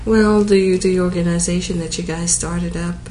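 A woman with a slightly low voice speaks in a teasing way. The echoey room has a faint hiss behind her. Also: fairly even intonation, normal speed, mellow. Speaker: interviewee.

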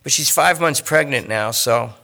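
A man voicing a jittery, talkative male junkie who rambles and pleads in fast bursts. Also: mid-range voice, gravelly, sharp tone. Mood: pleading.

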